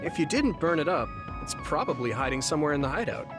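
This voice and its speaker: A man playing a gentle, friendly, easygoing young hero in an anime game dub. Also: fairly high pitch, sing-song, fast. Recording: music clearly behind the voice.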